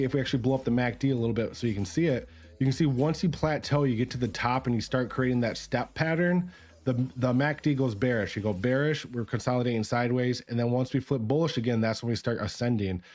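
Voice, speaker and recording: A man hosting a casual livestream about chart analysis, talking in a relaxed, chatty way with many fillers, his voice raspy from a cold. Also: mid-range voice. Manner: relaxed, chatty, filler-heavy talk to viewers. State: raspy, getting over a cold. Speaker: crypto chart livestream host. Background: faint music.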